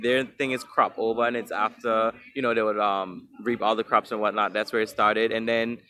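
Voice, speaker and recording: Man from Guyana and Anguilla, bright clear voice, thoughtful, hesitant, street interview guest. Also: lowish voice, steady tone, normal speed.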